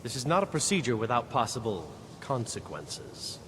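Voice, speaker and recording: An actor playing a grave, exacting wizard in a fantasy game, with a formal, theatrical delivery. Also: male voice, big pitch swings, normal speed, a bit bright. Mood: formal, instructive. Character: grave, exacting male wizard mourning lost knowledge. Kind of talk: fantasy video game voice acting. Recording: long hall reverb, rumbling background noise.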